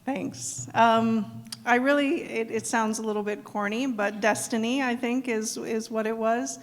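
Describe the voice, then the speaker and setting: A woman's voice: fairly high pitch, steady tone, unhurried. A middle-aged woman from South Dakota, answering questions at a live evening event in an earnest way, with frequent ums. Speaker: executive director being interviewed. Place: event hall. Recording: long hall reverb.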